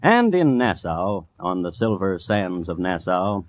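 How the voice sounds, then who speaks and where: A man playing a droll, erudite connoisseur of crime, narrating deliberately and theatrically as host of an old radio series. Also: low-pitched, sing-song, a little hiss or hum. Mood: droll storytelling. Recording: muffled vintage radio recording.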